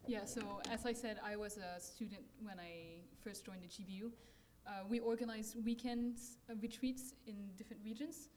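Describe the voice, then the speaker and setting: A young woman from France presenting her campus ministry work with slides, soft-spoken and pausing to search for words. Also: medium pitch, fairly even intonation, slight background noise.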